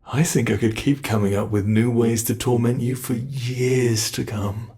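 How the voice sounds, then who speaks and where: Man voicing a slow, gravelly, sadistic monster. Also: mid-range voice, steady tone, warm tone, close to an everyday voice. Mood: gloating. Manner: slow, taunting, savoring every word. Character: sadistic male monster under the bed, gloating, menacing captor. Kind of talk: ASMR horror roleplay.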